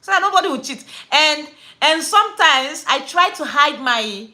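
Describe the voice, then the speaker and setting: A Nigerian-accented woman hosts a casual live stream from her home, talking into her phone. Her voice sits high and lively, with a bright, clear edge. She tells her stories in a chatty, animated way and drops pidgin slang. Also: moderate pace. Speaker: livestream host.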